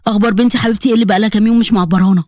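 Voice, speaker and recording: Audio-story father: middle-aged Egyptian man, lowered voice, tired and tender played by a woman. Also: steady tone, very fast, a little mellow, no echo, clean studio sound, natural voice. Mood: affectionate. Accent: Egyptian Arabic.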